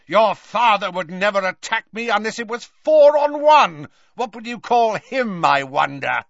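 Guy doing a sneering angry wizard man in an audiobook, shouty and taunting, forceful sharp voice, very dry sound. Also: high-pitched, very animated, measured pace, gravelly, no echo, lo-fi sound, pitched above the performer's own voice, heavily acted. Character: sneering, furious dark wizard man, adult, contemptuous.

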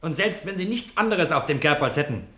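High-pitched, swooping police lieutenant. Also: male voice, expressive, brisk, pushed and bright, roomy sound. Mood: stern, triumphant. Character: stern, triumphant police lieutenant making threats. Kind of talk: satirical radio play acting.

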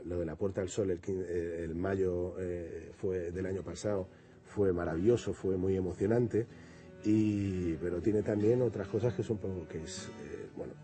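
A singer-songwriter from Madrid with a Madrid Spanish accent, talking reflectively in an interview and often restarting and repeating words. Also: male voice, low voice, steady tone, normal speed, dark tone, husky, soft background music. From Madrid, Spain. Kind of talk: relaxed interview conversation.

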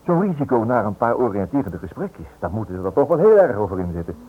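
A man's voice: fairly low pitch, sing-song, fast, husky. An actor playing a stubborn, protective husband and father in a radio drama, urgent and dismissive. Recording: muffled old radio play recording.

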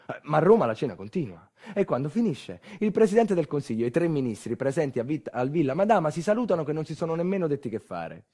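An animated man tells a story on stage. His delivery is intense and colloquial, swinging from hushed lines to loud ones. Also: on the high side, fast talker, breathy edge. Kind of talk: dramatic narrative theatre monologue.

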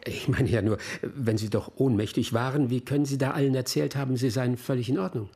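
An actor in a radio crime drama playing a patient middle-aged detective, questioning politely and methodically in a husky voice. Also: male voice, mid-range voice, brisk, dark tone. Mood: patient, insistent.

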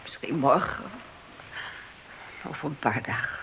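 A woman's voice: very deep voice, unhurried, creaky, noisy background, full character voice. An actress playing the bitter, wounded wife of a policeman in a radio play, terse and reproachful. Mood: subdued.

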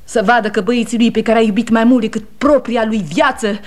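A woman's voice: on the high side, steady tone, darkish tone, close to an everyday voice. An actress playing a weary, fiercely loyal aging wife and mother, pleading and reproachful, in 1960s radio theatre style. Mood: indignant grief.

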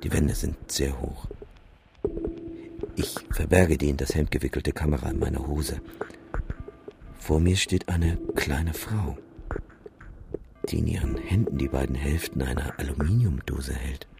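An actor playing an anxious man who recounts a nightmare in measured, literary radio drama narration. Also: rumbling low, creaky, full character voice. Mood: furtive unease. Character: anxious male dreamer with a camera, recounting a nightmare. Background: music.

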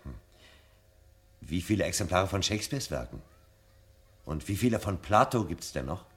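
A man's voice: low voice, lively intonation, moderate pace. An actor playing an earnest, insistent man searching for answers in a German radio drama, polite but urgent. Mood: earnest, probing. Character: earnest, insistent man seeking forbidden knowledge.

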